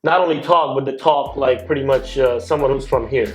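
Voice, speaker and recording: A man's voice: mid-range voice, fairly quick, a little mellow, faint music behind. A man from India, a guest investor in a casual podcast chat, talking with many "uh"s and "like"s. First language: Gujarati or other Indian language. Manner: hesitant, many fillers like "uh" and "like".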